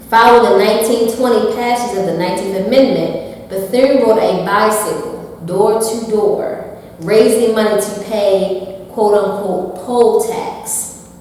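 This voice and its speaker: A woman's voice: medium pitch, darkish tone. A woman reads a prepared history report aloud and trips now and then over a word before starting it again. She is far from the mic in a very echoey hall with a long reverb tail and a faint hiss. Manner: reads aloud with small stumbles and restarts.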